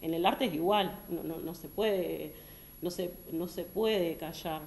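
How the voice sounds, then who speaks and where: A woman answers on a panel in an earnest, reflective way. Her voice sits a little low and carries a roomy echo, with faint noise behind. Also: measured pace, gravelly, a little mellow. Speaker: panelist, artist and early-childhood educator. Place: echoey hall. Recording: echoey hall.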